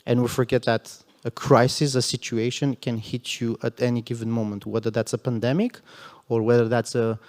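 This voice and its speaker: An earnest man answers on a conference panel, with a smooth, resonant voice held to a narrow, even pitch. He stresses his points and keeps slipping in "you know" asides. A long hall reverb trails behind every word. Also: moderate pace. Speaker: security panelist.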